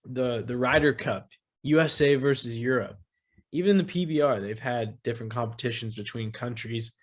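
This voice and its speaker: Rambling, easygoing male podcast host. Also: medium pitch. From Texas. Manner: relaxed, rambling, heavy on fillers. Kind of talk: casual sports chat.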